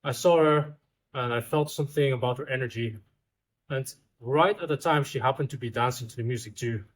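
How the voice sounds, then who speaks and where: A man's voice: medium pitch, a little fast. Joking young Dutch-raised man. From Netherlands. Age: 20s. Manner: easygoing and joking, with small restarts. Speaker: guest, researcher. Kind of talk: casual chat. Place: outdoors in Bali.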